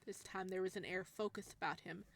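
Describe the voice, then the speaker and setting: A woman's voice: fairly low pitch, fairly even intonation, quick pace, vocal fry. A woman let's player reading game text aloud and commenting casually, in a playful, giggly, reactive way. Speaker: let's player narrating a visual novel.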